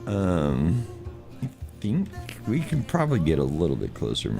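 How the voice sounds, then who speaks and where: Hoarse deep-voiced man, talking softly. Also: huge pitch swings, unhurried, music in the background. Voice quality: unusually deep from lost voice. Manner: talks quietly to spare his voice; chats to viewers, chuckles at himself. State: hoarse, lost voice. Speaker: streamer. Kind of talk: casual livestream commentary while playing.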